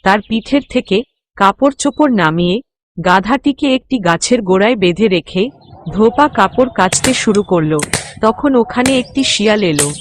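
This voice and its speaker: A woman's voice: medium pitch, animated, normal speed, dry recording. A woman narrating a scripted children's moral story. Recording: music bed under the voice.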